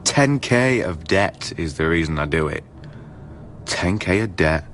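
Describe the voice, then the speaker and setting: An actor playing a weary, indebted telesales worker in a poetic radio drama, with dogged, polite patter. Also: male voice, deep voice, unhurried, a little hiss or hum, exaggerated voice. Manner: polite salesman patter, swinging to flat weariness.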